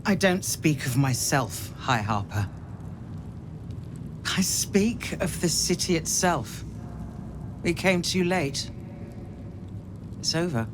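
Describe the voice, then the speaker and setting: A woman's voice: low-pitched, lively intonation, takes it slowly, long pauses, background noise. A woman playing a despairing, defeated captive counsellor in a video game, speaking in a grave, formal, declamatory way. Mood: grim, resigned. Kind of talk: dramatic video game acting.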